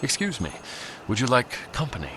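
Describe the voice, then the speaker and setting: A man's voice: mid-range voice, huge pitch swings, brisk, noisy background. A man playing a proud, brooding vampire lord in a video game, formal and courtly with a dry, sardonic manner. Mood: courteous. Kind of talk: scripted video game dialogue.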